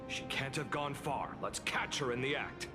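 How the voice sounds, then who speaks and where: A man's voice: medium pitch, normal speed, over music, natural voice. A man voicing a flustered, anxious company staffer fussing over guests in a video game. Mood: flustered, worried.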